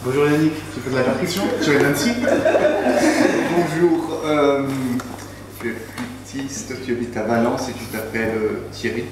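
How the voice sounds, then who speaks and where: A man's voice: mid-range voice, normal speed, pauses often. A man, a trumpeter in a music workshop, speaking plainly and a little hesitantly. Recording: echoey room, distant mic, loud background noise.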